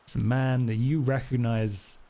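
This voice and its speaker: A man's voice: mid-range voice, fairly even intonation, a little slow, mellow. A man narrating a tabletop role-playing game as storyteller, improvising scenes as he goes.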